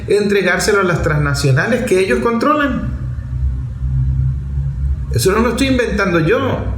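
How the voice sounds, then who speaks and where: Male political commentator, Venezuelan accent, slightly high voice, emphatic phrasing, unscripted, in a roomy echoing recording. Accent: Venezuelan Spanish. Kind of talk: political monologue, spoken off the cuff. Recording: echoey room with faint background noise.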